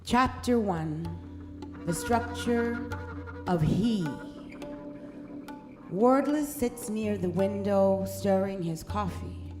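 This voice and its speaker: A woman narrating a literary story in a measured, deliberate way. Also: medium pitch, animated. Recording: music playing behind the voice. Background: instrumental music.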